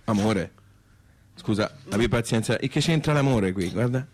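A man's voice: on the low side, moderate pace, natural voice. An actor playing a blustering, coarse provincial man with a Tuscan accent, rambling and repeating himself in a radio comedy. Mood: crude, dismissive. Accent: Tuscan Italian. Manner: rambling, repetitive, exclamatory. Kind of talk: theatrical radio comedy dialogue.

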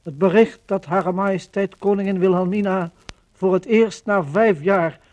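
A man narrating a scripted 1950s radio documentary in a solemn, measured delivery. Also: sits high, fairly even intonation, darkish tone.

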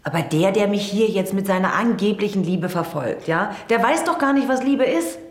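A woman's voice: mid-range voice, expressive, moderate pace, roomy sound, close to an everyday voice. An actress playing a troubled woman writer in a radio drama, articulate, guarded and disillusioned about love. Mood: bitter, agitated. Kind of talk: naturalistic radio drama acting.

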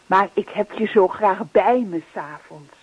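An actress playing a middle-aged wife in a naturalistic radio play, teasing and reproachful yet affectionate. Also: female voice, medium pitch, measured pace, breathy edge. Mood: tender, coaxing. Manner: chiding, teasing, coaxing. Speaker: actor. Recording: dull, muffled radio play recording.

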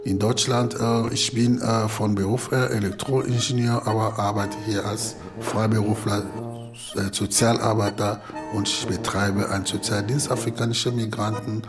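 A man in his forties from Congo, speaking in a halting, reflective interview manner full of pauses. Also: lowish voice, level tone, music in the background. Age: 40s. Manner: halting, with frequent pauses and fillers.

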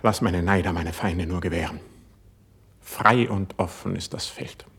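A young poet of a classic radio drama, proud and deeply wounded. His voice sits low, with a breathy, husky grain. He speaks verse with measured, brooding intensity. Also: a bit bright, heavily acted. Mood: proud, defiant.

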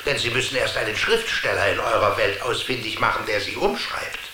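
An actor playing a touchy, talkative television character in a children's radio play, explaining things theatrically. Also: male voice, fairly low pitch, fairly quick, pushed and bright, echoey room, a little hiss or hum. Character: touchy, talkative male TV character living inside the screen.

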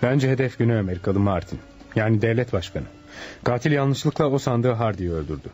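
An actor in a radio play voicing an earnest, analytical hospital subordinate, brisk and matter-of-fact, with a husky voice. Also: male voice, mid-range voice, lively intonation, darkish tone, muffled recording, soft background music, natural voice. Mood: urgent, certain. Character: urgent, analytical male hospital subordinate reporting murder.

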